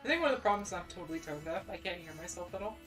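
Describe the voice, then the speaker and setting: A woman's voice: fairly low pitch, animated, very fast, a bit bright, faint music behind. Rambling young Midwestern woman streamer. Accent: General American (Midwestern). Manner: rambling, many "like"s and restarts, self-deprecating. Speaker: VTuber streamer. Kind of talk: casual stream chat.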